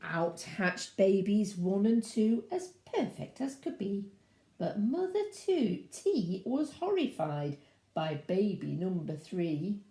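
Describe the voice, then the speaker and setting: A woman's voice: medium pitch, very animated, takes it slowly, dark tone, roomy sound. A woman reading a children's storybook aloud expressively, in a sing-song way, with asides to young listeners.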